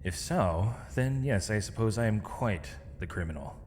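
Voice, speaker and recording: A man playing a captured mage in an audio roleplay, defiant and weary, speaking in measured, sardonic, formal phrases. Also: lowish voice, animated, a little mellow, lightly acted. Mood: sardonic, probing. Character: captured male mage, defiant, weary, eloquent, accused villain. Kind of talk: scripted ASMR audio roleplay. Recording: long reverb, clear background noise.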